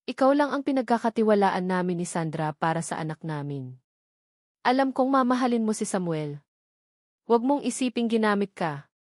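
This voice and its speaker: A woman speaks as a forgiving younger brother in a farewell letter. The manner is gentle and earnest, at a middle female pitch. It is audio drama recorded close and dry. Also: no echo, natural voice.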